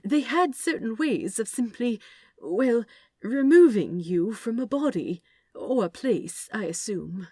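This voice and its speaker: An earnest, fussy male angel from a storybook reading, played by a woman, speaks in a raised, swooping voice. He explains things haltingly and anxiously, in a dry, close recording. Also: a little high, animated, warm tone, slightly rough, no echo, voice pushed up, exaggerated voice. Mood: flustered, hasty.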